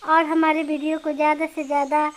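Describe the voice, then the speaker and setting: A woman's voice: high voice, flat delivery, fairly quick. A woman narrating a scripted children's story as a storyteller.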